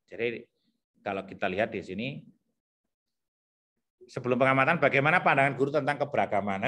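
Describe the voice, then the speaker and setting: A man with a thick Javanese accent explaining teacher competency criteria to colleagues, repeating himself and filling pauses as he thinks aloud. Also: medium pitch, sharp tone. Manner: repetitive, thinks aloud with many fillers.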